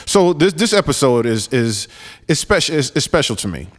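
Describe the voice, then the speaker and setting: A man in his forties from New York hosting a solo podcast, talking earnestly and haltingly with frequent restarts and fillers. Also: a little high, sing-song, long reverb tail. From New York, raised in Florida. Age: 40s. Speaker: podcast host.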